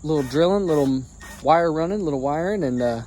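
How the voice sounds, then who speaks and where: A man's voice: fairly high pitch, animated, fairly quick, a little mellow. Folksy man rambling outdoors. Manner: rambling, folksy, restarts phrases while working. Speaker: DIY truck vlogger. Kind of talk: casual how-to vlog. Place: outdoors beside a truck, crickets around. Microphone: handheld camera, no tripod. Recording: noisy outdoor recording with crickets.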